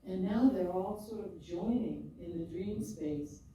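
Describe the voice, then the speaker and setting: An older woman, a poet and podcaster, telling her story in an expressive, rhythmic way and often laughing, before a live audience. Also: lowish voice, unhurried, slight background noise. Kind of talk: reflective conversational storytelling. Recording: echoey hall, mic far off.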